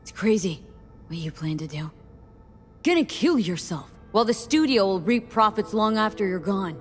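An actress playing a tough young mercenary woman in a video game, talking in clipped, casual, steady phrases. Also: mid-range voice, normal speed, natural voice. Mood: grave, blunt. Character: tough, blunt young female mercenary, plain-spoken and steady. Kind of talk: video game dialogue acting. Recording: music behind the voice.

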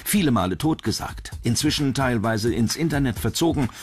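A man's voice: medium pitch, animated, moderate pace, slight background noise. A man delivering scripted documentary narration. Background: background music.

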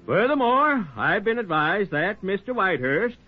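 An actor playing a pompous, easily flustered small-town mayor, officious and pleading, in 1940s radio style. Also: male voice, high-pitched, sing-song, moderate pace, husky, full character voice. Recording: dull 1940s radio, crowd behind.

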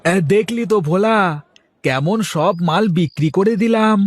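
Man voicing a high-pitched village vegetable seller, cartoon. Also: moderate pace. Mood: wheedling. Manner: colloquial, haggling, full of interjections. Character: plain-living male village vegetable seller, wheedling, defensive, henpecked. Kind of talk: cartoon character dialogue.

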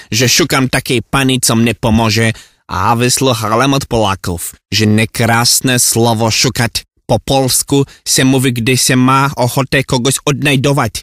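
A man's voice: mid-range voice, fairly bright tone, dry recording, close to an everyday voice. Flustered Czech man played by an actor, close and dry. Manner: flustered ranting in garbled mock Czech-Polish. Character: hapless, exasperated Czech man lost in Poland. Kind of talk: comic monologue.

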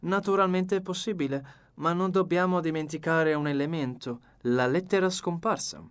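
A man voicing a shrewd, courteous little foreign detective in an audiobook, theatrical, knowing and deliberate. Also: on the high side, smooth, pitched above the performer's own voice, close to an everyday voice. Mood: approving. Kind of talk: acted audiobook dialogue.

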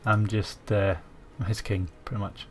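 A man's voice: on the low side, level tone, measured pace, soft background music. A man with a stuffy cold, musing aloud with fillers as he hosts strategy game commentary, with keyboard typing behind him. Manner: musing aloud while playing, many fillers. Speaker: gaming channel host.

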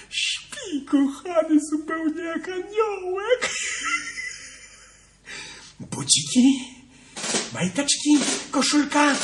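An actor playing a sly, gleeful thieving fox in children's theatre, drawing words out and cackling, moving between whispers and shouts. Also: high voice, full character voice. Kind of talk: theatrical children's stage acting. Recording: echoey room, distant mic, background noise.

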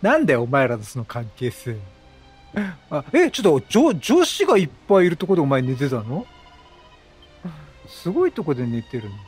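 A man giving casual let's-play commentary as a game streamer, chatty and quick with joking reactions. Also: fairly high pitch, wildly swooping pitch, a little mellow, slightly rough. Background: game music.

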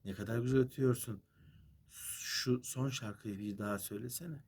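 A man voicing a keen young fairy tale mill apprentice, loud and coaxing. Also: mid-range voice, fairly even intonation, normal speed, breathy edge, voice pushed up.

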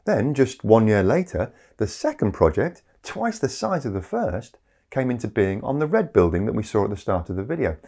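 A man's voice: fairly low pitch, darkish tone. A man presenting a scripted explainer in a conversational way, with rhetorical asides. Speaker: video presenter. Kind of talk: scripted explainer narration to camera. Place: small indoor home study. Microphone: lapel mic.

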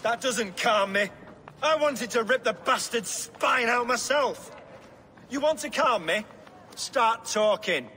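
An actor playing a blunt, commanding, hot-tempered medieval lord in a video game. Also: male voice, very high-pitched, expressive, slow pace, pushed and bright, big-room sound, slight background noise, exaggerated voice. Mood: angry, demanding. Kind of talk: dramatic game cutscene acting.

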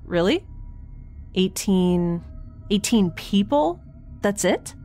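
Quirky, chatty young space heroine. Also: female voice, medium pitch, expressive, takes it slowly, dark tone, faint music behind. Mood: eager, wry. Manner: chatty, wry, rambling. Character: quirky, excitable, impulsive young woman in space. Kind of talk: audio drama acting.

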